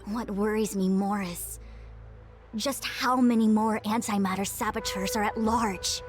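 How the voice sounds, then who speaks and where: A woman plays a stern game official with a medium-pitched voice. She is composed and authoritative, speaking in formal sentences over faint music. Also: fairly even intonation, measured pace, close to an everyday voice. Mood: urgent, stern. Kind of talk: video game voice acting.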